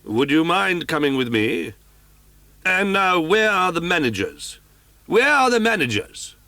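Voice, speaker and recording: A calm, wry police commissary, voiced by a man who questions with dry amusement. His pitch rises and falls with mock surprise. The sound is dry and close to the mic. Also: unhurried, vocal fry, no echo, heavily acted. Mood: brisk.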